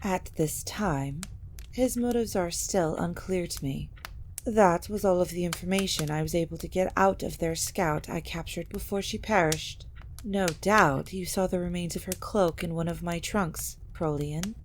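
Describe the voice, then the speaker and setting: An ancient vampire matriarch, played by a woman in an audio drama, with a fairly low voice. She speaks formally and gravely, measuring each phrase like a scholarly schemer. There is clear noise behind her. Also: a little slow, close to an everyday voice. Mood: grave, deliberate. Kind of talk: scripted audio drama acting.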